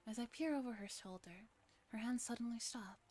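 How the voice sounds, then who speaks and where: A woman with a fairly high voice reads a story aloud in a soft ASMR style. Her delivery is gentle and breathy, often slipping toward a whisper, like a streamer narrating a visual novel. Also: a little fast. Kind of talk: soft-spoken ASMR narration with chat asides.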